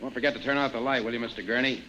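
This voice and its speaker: A man's voice: medium pitch, steady tone, rapid-fire, pushed and bright, lightly acted. An actor playing a casual, plain-spoken male night security guard in an old-time radio drama. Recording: faintly noisy vintage radio recording.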